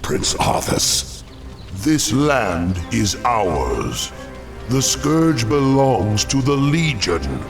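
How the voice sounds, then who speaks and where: An actor playing a haughty, duty-bound dreadlord demon in a fantasy video game, stern, formal and theatrical. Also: male voice, mid-range voice, big pitch swings, drawn-out words, music in the background, exaggerated voice.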